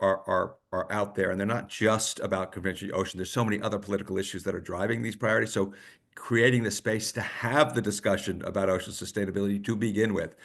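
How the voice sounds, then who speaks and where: A man moderating a webinar panel, halting and often repeating words. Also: deep voice.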